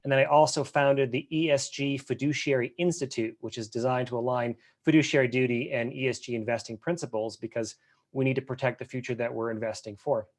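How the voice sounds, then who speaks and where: A man talks quickly on a webinar panel about finance, his voice sitting in a middle pitch. He is earnest and often restarts his phrases. It sounds like a video call. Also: steady tone. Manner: fast, earnest, with repeated restarts.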